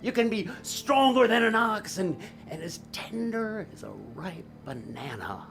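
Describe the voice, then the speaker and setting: A man playing an earnest, remorseful father and stage magician in an audio drama, speaking in a halting way. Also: sits high, very animated, creaky, background noise, exaggerated voice. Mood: tender, earnest.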